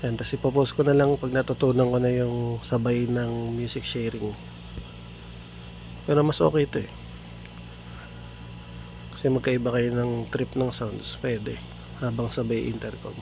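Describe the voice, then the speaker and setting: Gravelly Filipino man over clear background noise. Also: medium pitch, flat delivery, measured pace, pauses often, darkish tone. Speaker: product tutorial presenter. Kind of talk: casual hands-on product demonstration.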